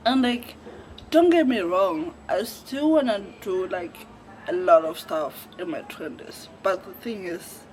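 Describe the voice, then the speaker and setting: A man's voice: high-pitched, lively intonation, unhurried, darkish tone, poor audio. A twenty-year-old man from Zimbabwe, vlogging in a casual, rambling, candid way, with lots of fillers and the odd swear word. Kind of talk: casual reflective chat to camera. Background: faint crickets and insects.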